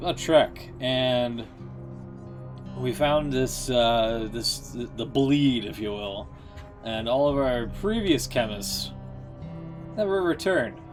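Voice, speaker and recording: A man giving casual, wry and skeptical reaction commentary as a streamer. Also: mid-range voice. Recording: music playing behind the voice.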